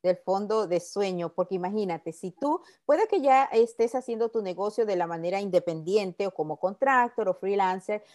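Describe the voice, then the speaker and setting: Woman, podcast host, relaxed mellow voice, chatty, enthusiastic, Spanish with English words. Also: medium pitch, moderate pace, few pauses. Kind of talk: conversational interview.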